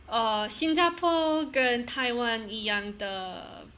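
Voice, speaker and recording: A woman's voice: fairly high pitch, expressive, drawn-out words, a bit bright. A woman hosting a casual chat over a video call, talking hesitantly and searching for words as she goes. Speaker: host.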